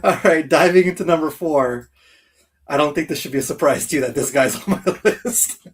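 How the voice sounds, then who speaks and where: A man's voice: on the high side, moderate pace, slightly rough. A man co-hosting a livestream countdown, chatting in an informal, enthusiastic way without a script. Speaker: co-host of a video game countdown livestream. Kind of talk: casual unscripted chat.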